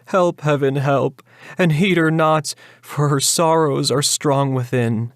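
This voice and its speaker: A man's voice: fairly high pitch, slow, dry recording, studio quality, pitched above the performer's own voice. A man voicing a pious older mother, tender and admonishing, imploring in a prayerful way in a dramatic ballad reading.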